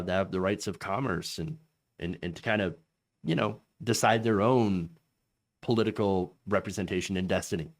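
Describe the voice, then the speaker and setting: A man hosting a casual political commentary, reading quotes and giving his opinion with many fillers and restarts. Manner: lively pitch with frequent fillers and restarts; reads quotes aloud with raised pitch.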